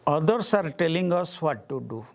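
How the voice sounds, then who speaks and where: A man with an Indian accent, a spiritual teacher answering questions at a gathering in slow, deliberate phrases with long pauses. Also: fairly high pitch, lively intonation, gravelly. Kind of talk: satsang talk answering questions.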